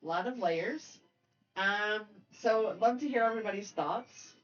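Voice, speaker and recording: A woman hosting a casual film discussion, speaking haltingly and often repeating and circling back over her words. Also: mid-range voice, highs cut off, faint music behind. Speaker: podcast host.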